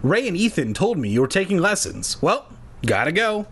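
A man's voice: sits high, big pitch swings, moderate pace, fairly bright tone, breathy edge, voice pushed up, heavily acted. A man voicing a cocky young boy with a breezy, smug manner in a story reading. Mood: puzzled, breezy.

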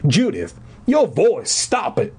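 A man's voice: fairly high pitch, huge pitch swings, slow, slightly rough, pitched above the performer's own voice, exaggerated voice. A man voicing an alarmed, pleading mother in a storybook, anxious about her child. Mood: alarmed, scolding.

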